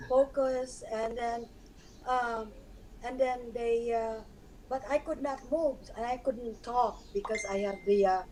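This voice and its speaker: A hoarse woman speaks earnestly in a fairly high but dark, mellow voice, often restarting her sentences as she explains. Faint noise hums under the live-stream audio. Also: fairly even intonation, measured pace, rough recording. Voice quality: hoarse, scarred voice. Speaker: guest.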